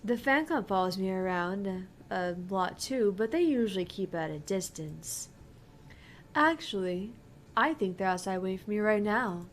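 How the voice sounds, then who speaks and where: A woman's voice: medium pitch, lively intonation, normal speed, natural voice. A woman voicing a cheerful, airy young female pop idol in a video game, earnest and breezy. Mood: proud, matter-of-fact. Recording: clear background noise.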